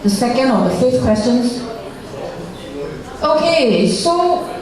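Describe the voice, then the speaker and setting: A woman's voice: medium pitch, dark tone. A woman teacher gives a rambling classroom lecture over slides, full of fillers and tag questions. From Singapore. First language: not Mandarin. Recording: very echoey room, far from the mic.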